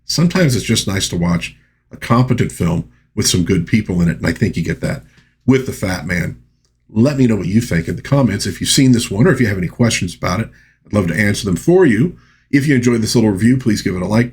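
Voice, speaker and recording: Male review host, somewhat low and husky, chatty and folksy, talking straight to the audience. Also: expressive, a little fast, darkish tone. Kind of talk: conversational movie review, recapping and commenting.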